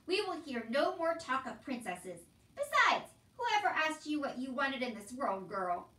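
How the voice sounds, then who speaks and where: A woman voicing a loud, brutish, mocking middle-aged man who barks scornfully in rustic speech, in a storybook reading. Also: fairly high pitch, lively intonation, moderate pace, echoey room, far from the mic. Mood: scornful, angry.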